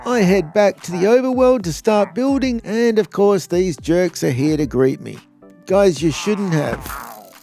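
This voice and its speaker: High-voiced Australian man, jokey gameplay narration. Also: expressive, a little slow, gravelly, dark tone, faint music behind. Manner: jokey, blokey, informal. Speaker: video host. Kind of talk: scripted gameplay tutorial narration.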